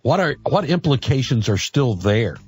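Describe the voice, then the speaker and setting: Male call-in radio host, mid-pitched and husky, conversational. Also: big pitch swings, moderate pace, faint music behind. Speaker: radio talk show host. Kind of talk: live call-in radio hosting.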